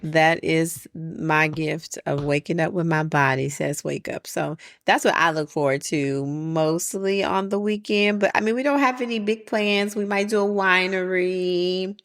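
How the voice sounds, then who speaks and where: A woman's voice: lowish voice, level tone, measured pace, hardly any pauses, a bit bright, no echo, clean studio sound. An American woman with a folksy, country-sounding way of talking, bantering casually as a podcast co-host with her husband.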